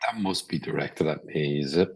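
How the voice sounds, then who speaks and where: A man's voice: very deep voice, moderate pace, slightly rough. A man from Canada in casual chat, joking and teasing.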